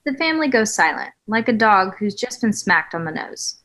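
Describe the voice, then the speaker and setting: A woman's voice: medium pitch, sing-song, moderate pace, sharp tone. A woman narrating stage directions in a scripted style for a comedy table read.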